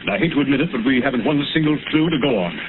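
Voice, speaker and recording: An actor playing a stubborn, defensive police commissioner in 1930s radio drama style. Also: male voice, medium pitch, animated, very fast, close to an everyday voice. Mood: troubled. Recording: scratchy, noisy, tinny 1930s radio recording.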